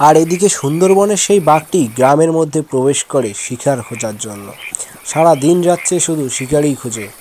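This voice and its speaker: A man's voice: on the high side, fairly quick, a little mellow, a little hiss or hum. A man narrating a scripted children's cartoon story as the storyteller. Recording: background music under the voice.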